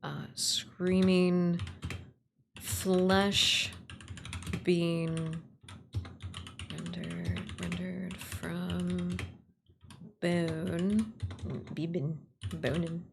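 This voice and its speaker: Woman streamer mumbling thru her writing, lowish gravelly voice, keyboard clatter behind her. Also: expressive, very slow, pauses often, noisy background. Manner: mutters to herself, trails off and repeats words while drafting. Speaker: streamer, writer and voice actor. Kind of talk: casual work-along stream, thinking aloud while writing. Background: computer keyboard typing and clicks.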